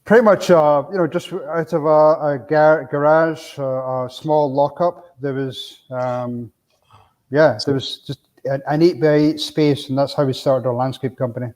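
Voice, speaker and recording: A man's voice: fairly high pitch, steady tone, unhurried, a little mellow. A middle-aged man from Scotland with a Scottish accent, talking casually as a guest on a business podcast, peppering his stories with "you know". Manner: plainspoken, storytelling with frequent "you know".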